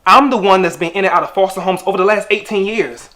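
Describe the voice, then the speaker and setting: A man's voice: mid-range voice, expressive, moderate pace, close to an everyday voice. A man acting a bitter, guarded young adult abandoned at birth, sardonic and accusing in a screen drama. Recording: music clearly behind the voice.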